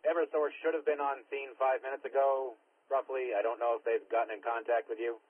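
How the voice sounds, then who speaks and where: A male fire and ambulance dispatcher speaks over a two-way radio in a clipped, procedural way. His voice is medium-pitched and rough-edged. The sound is narrow and tinny, like a scanner feed. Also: level tone, a little fast, no echo. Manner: clipped, repeats units and times. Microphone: dispatch radio microphone.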